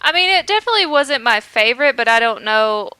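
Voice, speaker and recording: Woman, podcast co-host, bright voice, dry studio sound, giggly, enthusiastic. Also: fairly high pitch, animated, fast, clean tone, no room sound. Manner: giggly, enthusiastic fan talk. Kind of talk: casual chat.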